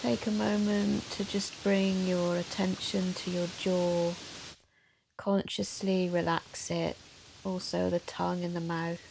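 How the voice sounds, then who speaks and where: A woman yoga teacher leading a guided meditation in a soothing, measured, gentle manner with long pauses. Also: lowish voice, little pitch movement, vocal fry, low-quality recording. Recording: soft hiss of rain noise. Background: soft rain sound.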